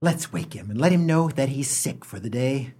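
A man's voice: medium pitch, animated, normal speed, dark tone, lightly acted. A man playing a sardonic, grumbling small-town husband and father in a comic radio play, wry and wordy. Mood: dryly scheming.

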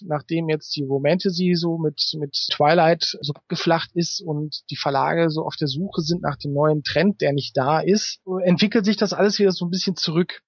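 A man with a fairly high voice answers interview questions casually. He explains thoughtfully, hesitating as he builds his sentences. The sound is close and dry. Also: no room sound. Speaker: interviewed fantasy author.

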